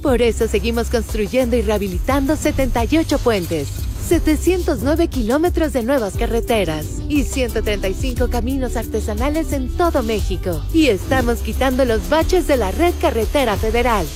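Female announcer, slightly high voice, upbeat scripted ad delivery over a clear music bed. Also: expressive, normal speed, few pauses. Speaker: government ad announcer.

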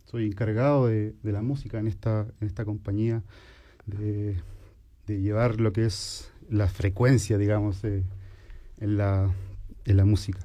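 Chilean musician, mellow mid voice, polite, halting. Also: male voice, fairly low pitch, level tone. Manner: polite, searching for words. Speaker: theatre company musician. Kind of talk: radio interview.

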